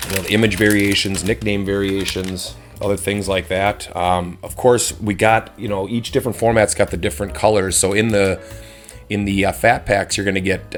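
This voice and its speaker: A man with a slightly hoarse voice casually chatting as he opens card packs on his channel, relaxed and rambling with frequent ums. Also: sits low. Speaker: host of a card-opening channel. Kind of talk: casual chat while opening card packs.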